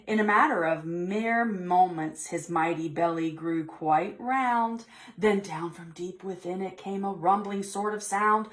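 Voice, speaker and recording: A woman reading a storybook aloud to preschoolers in an expressive, theatrical, deliberate way. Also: lowish voice. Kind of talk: expressive storybook read-aloud for young children.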